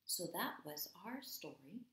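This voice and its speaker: A woman teacher in her thirties reads to young children. Her pitch moves widely and she takes her time, dramatic and deliberate, sometimes hushed. It is recorded in an echoey room at home. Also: very animated. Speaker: English teacher. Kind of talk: expressive read-aloud for young children. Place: small room at home, indoors. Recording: roomy indoor echo.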